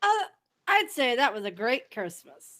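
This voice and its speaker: A woman's voice: fairly high pitch, sing-song, measured pace, sharp tone, exaggerated voice. A woman voicing a playful, jolly old male headmaster wizard in an amateur audio drama.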